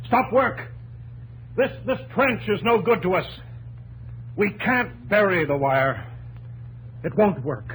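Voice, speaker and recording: An actor playing an anxious, driven inventor in a 1950s radio drama, urgent and halting, repeating his words. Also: high voice, lively intonation, fairly bright tone, a little hiss or hum, exaggerated voice, poor audio. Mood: alarmed, frustrated. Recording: old radio broadcast with organ music.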